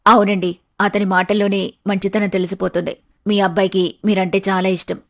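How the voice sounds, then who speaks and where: A woman puts on a bride's middle-aged parent in a storybook family drama. The pitch sits low with some gravel in it, and the manner is polite, agreeable and eager. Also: little pitch movement, brisk, lightly acted.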